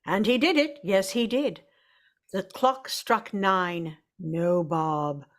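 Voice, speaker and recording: A woman's voice: mid-range voice, slow, natural voice. A woman delivering scripted storytelling narration in a vintage-style radio drama.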